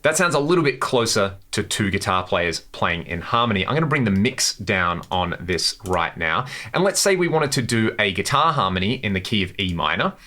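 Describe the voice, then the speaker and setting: A man's voice: on the low side, very animated, normal speed, hardly any pauses, sharp tone. A man hosting a guitar gear tutorial, explaining things step by step in a casual, conversational way. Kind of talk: instructional demo, casual explanation.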